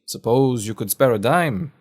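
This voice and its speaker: A man puts on a cryptic old man of the back roads for an audio drama. He is kindly and folksy, handing out wisdom in an unhurried way. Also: mid-range voice, lively intonation, close to an everyday voice. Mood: genial, curious. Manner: folksy, unhurried, sage advice. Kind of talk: audio fiction character voice.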